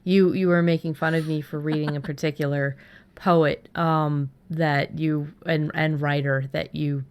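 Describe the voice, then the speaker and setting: A woman's voice: low-pitched, level tone, unhurried, clean tone. A woman co-hosting a casual chat, thinking aloud in a loose, rambling way. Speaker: podcast co-host.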